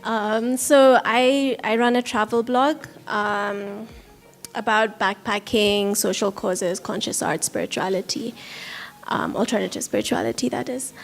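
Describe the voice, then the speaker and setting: A woman's voice: medium pitch, unhurried, creaky. A woman from Kenya with a mixed accent, hesitantly introducing herself from the audience as a travel blogger. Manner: hesitant, many ums. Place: theatre hall at a panel discussion.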